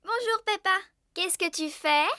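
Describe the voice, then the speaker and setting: A little girl sheep with a very high, bright voice. She sounds innocent and matter-of-fact, in the simple style of a preschool cartoon. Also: a little slow, exaggerated voice. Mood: curious. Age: child character. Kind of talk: French-dubbed preschool cartoon acting.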